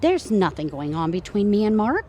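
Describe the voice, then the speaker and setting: A woman's voice: mid-range voice, big pitch swings, moderate pace, warm tone, natural voice. A woman playing an exasperated middle-aged wife and mother in an audio comedy, with faint noise behind. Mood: exasperated, pleading. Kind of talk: audio comedy drama acting.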